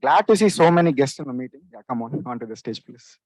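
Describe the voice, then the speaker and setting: A man's voice: mid-range voice, animated, quick pace, darkish tone, no room sound, rough recording. A young man hosting a student club meeting, casually welcoming guests onto the stage with friendly encouragement. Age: young adult. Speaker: meeting host.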